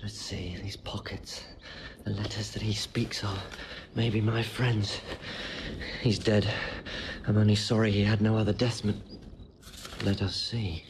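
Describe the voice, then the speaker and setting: An actor playing a gentle, loyal young nobleman disguised as a poor man, earnest and measured, in a Shakespearean audio drama. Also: on the low side, little pitch movement, background noise. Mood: intent.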